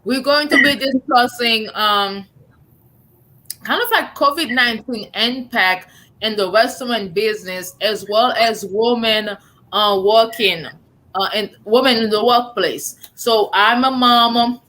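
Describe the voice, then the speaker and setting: Woman show host, thirties, bright tone, easy chatty delivery. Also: a little high, unhurried, low-quality recording. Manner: warm, chatty, many fillers. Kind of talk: live online talk show.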